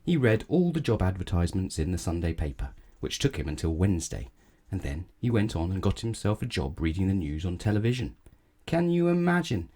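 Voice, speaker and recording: A man with a low voice reads a children's storybook aloud. His delivery is measured and expressive, with animated swings in pitch and a mellow tone. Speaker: storybook reader. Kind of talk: children's read-aloud narration.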